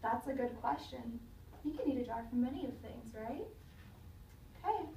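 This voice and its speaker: Woman, teacher, high-pitched voice, expressive children's read-aloud, dramatic delivery, echoey room. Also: gravelly. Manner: dramatic, pausing to question listeners. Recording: roomy, distant mic, faint noise.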